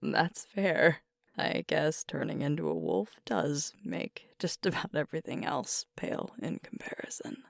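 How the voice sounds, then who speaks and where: A woman playing a sweet, vulnerable alpha werewolf girlfriend in an intimate ASMR roleplay, speaking softly and hesitantly as she grieves. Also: low voice, lively intonation, dry recording, clean studio sound, exaggerated voice. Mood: gently amused. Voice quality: soft, breathy, often whispered. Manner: slow, hesitant, confiding, with pauses.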